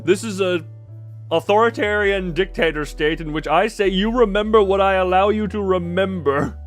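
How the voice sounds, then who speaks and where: A man's voice: sits high, moderate pace, faint music behind. A man hosting a casual livestream, chatting with viewers in a jokey, playfully mock-dramatic way. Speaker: livestream host.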